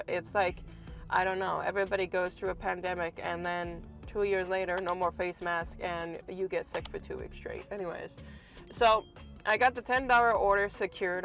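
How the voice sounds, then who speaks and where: A woman delivery driver from Canada, raspy and congested from a cold, giving a frank, exasperated vlog from her car. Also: fairly low pitch, fairly bright tone. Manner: frank, exasperated ranting with many restarts. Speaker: food delivery driver and vlogger. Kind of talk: casual vlog talk to camera.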